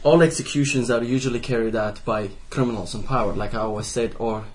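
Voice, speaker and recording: A man's voice: medium pitch, steady tone, fairly quick, a little mellow, a little hiss or hum. A young man from Iraq speaking as a panelist in a discussion, impassioned and often restarting his sentences. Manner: impassioned, restarting and repeating himself.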